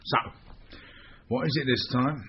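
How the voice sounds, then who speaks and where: An actor playing a gruff, sardonic British chief inspector in a radio detective drama. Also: male voice, medium pitch, a little slow, natural voice. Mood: dry, sardonic. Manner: sardonic, blustering, dismissive. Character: gruff, sarcastic, slovenly male police chief inspector. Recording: muffled radio recording with hiss.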